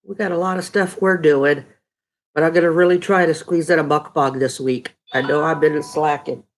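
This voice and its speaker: Woman, middle-aged, stuffed-up nasal voice, lowish, easygoing live-stream host chatting with viewers. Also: steady tone, moderate pace. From Texas. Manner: chatty, greets viewers by name. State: stuffy, congested nose. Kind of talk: casual live chat reading viewer comments.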